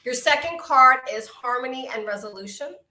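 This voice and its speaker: A woman's voice: sits high, lively intonation, moderate pace, echoey room. A middle-aged woman with a Brooklyn New York accent, chatting casually while giving oracle card readings on a live stream. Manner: chatty, warm greetings to viewers, frequent hearty laughs.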